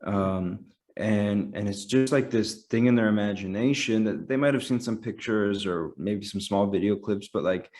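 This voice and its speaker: A man's voice: fairly low pitch, normal speed, a little mellow. An American man from Los Angeles, a company founder, giving direct, matter-of-fact answers in a casual interview. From Los Angeles, United States. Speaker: streaming company founder, guest.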